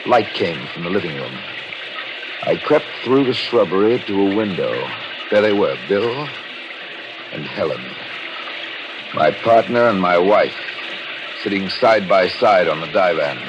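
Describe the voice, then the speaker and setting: Deep-voiced vengeful husband. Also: male voice, animated, a little slow, long pauses, muffled recording, background noise. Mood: tense, calculating. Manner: brooding, dramatic first-person narration. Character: bitter, scheming betrayed husband plotting revenge, also narrating. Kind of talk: 1940s radio thriller acting. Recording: old radio recording with music behind.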